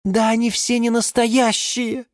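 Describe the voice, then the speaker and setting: Children's story geranium, played by a woman: high, shrill, quarrelsome diva with big dreams, indignant, very dry close sound. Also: little pitch movement, unhurried, darkish tone, no room sound, natural voice. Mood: tearful, indignant. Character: excitable, quarrelsome female geranium dreaming of modelling.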